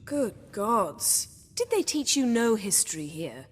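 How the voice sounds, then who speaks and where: An actress playing a haughty, learned sorceress in a video game, sharp-tongued and lecturing. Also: female voice, medium pitch, sing-song, takes it slowly, slightly rough, big-room sound, heavily acted. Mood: knowing, lecturing.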